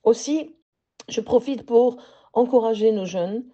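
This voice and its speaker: A woman teacher from Algeria with an Algerian accent, giving a measured personal message with hesitant pauses. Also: a little high, dark tone, highs cut off.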